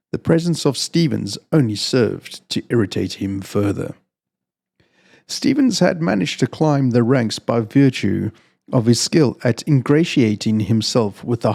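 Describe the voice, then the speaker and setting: A man's voice: medium pitch, creaky, mellow. A man presenting and narrating fiction as a storyteller. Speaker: storyteller, channel host reading own fiction. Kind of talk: casual introduction, then audiobook-style story narration.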